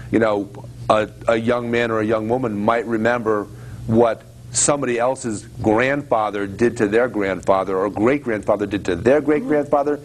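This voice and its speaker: A man hosting a casual talk-show conversation, speaking in a halting, reflective way and often repeating words as he thinks. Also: lowish voice. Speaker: host. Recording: faint background noise.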